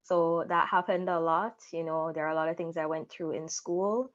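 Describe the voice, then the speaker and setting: A woman's voice: sits low, fairly even intonation, normal speed, highs cut off. A young adult woman telling her personal story candidly as an interview guest. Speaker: interview guest, content creator.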